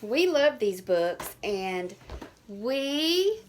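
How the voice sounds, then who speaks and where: A woman reading a picture book aloud for young children, with simple, expressive phrasing. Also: a little high, extremely slow, background noise. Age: adult. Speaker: storybook reader.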